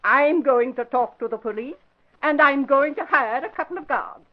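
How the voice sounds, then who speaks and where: Actress as a high-voiced feisty old spinster. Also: female voice, moderate pace, natural voice. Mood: indignant, tart. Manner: folksy, tart, forthright. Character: feisty, blunt, no-nonsense older spinster client. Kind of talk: old-time radio drama acting. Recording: dull vintage radio recording.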